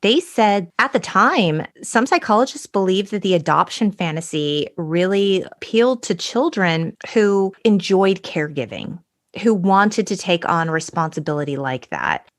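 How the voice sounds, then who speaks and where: A woman's voice: fairly low pitch, big pitch swings, measured pace. A middle-aged woman co-hosting a podcast, chatting casually and recounting researched facts like a storyteller. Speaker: podcast co-host. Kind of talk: casual chat.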